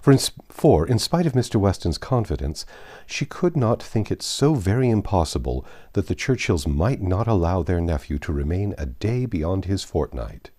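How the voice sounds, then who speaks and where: A man reading a classic novel aloud as narrator, in a measured, formal storytelling manner. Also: low-pitched, very animated, darkish tone. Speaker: audiobook narrator. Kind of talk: expressive literary reading aloud.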